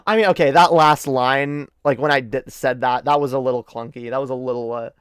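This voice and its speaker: A man from Canada hosting a casual stream, reacting to his own old clips and breaking into high-pitched laughter. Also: steady tone, a little fast, smooth, dry recording, studio quality.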